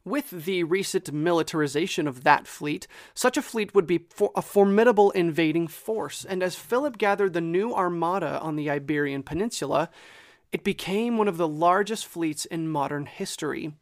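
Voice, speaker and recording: History podcast guy, kinda high voice, reads his script but chatty, pokes fun at himself a bit, dead dry mic. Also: normal speed, dry recording. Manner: conversational, lightly self-deprecating. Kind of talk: scripted history narration with casual asides.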